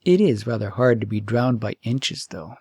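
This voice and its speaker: A man's voice: medium pitch, expressive, moderate pace, vocal fry, a little mellow, slightly rough, no echo. A man voicing a lovelorn, self-sacrificing young fairytale prince, musing and nonchalant, in a storybook reading. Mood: wistful musing. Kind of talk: storybook character acting.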